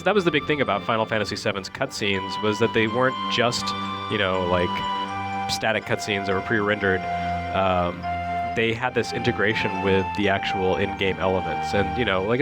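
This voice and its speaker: Low-pitched man commentating over game music. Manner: bantering, opinionated, argues points conversationally. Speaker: video game critic. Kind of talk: casual off-the-cuff commentary on game cutscenes. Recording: game music playing behind the voice. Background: video game music.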